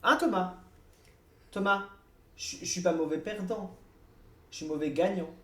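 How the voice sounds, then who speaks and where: A man's voice: high-pitched, measured pace. A man co-hosting a podcast, teasing his friend in playful casual banter. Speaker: podcast co-host. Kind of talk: casual chat.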